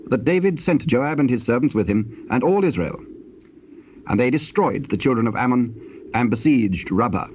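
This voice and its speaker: A British man narrates King James scripture with solemn, measured delivery. His voice is medium in pitch. The recording is an old cassette, slightly dull and hissy. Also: darkish tone.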